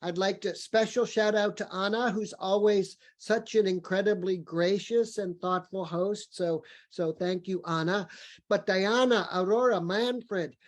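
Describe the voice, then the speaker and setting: Appreciative woman, medium pitch. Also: steady tone, a little slow. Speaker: commission chair. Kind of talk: informal closing remarks at an online panel.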